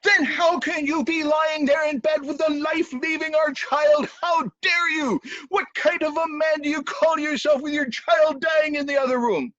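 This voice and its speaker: A man voicing a fierce, sharp-tongued queen who scolds and commands her husband in a folk tale. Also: very high-pitched, steady tone, normal speed, hardly any pauses, no room sound, dull audio, pitched above the performer's own voice. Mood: outraged.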